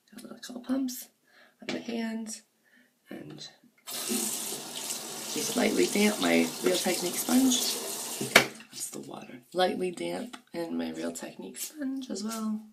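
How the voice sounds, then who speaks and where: A woman's voice: fairly low pitch, gravelly, noisy background. Groggy-voiced woman with a sore throat. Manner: rambling, chatty, drifts to near-whispers. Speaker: beauty vlogger. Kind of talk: casual makeup review. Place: home bathroom. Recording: voice a bit far from the mic.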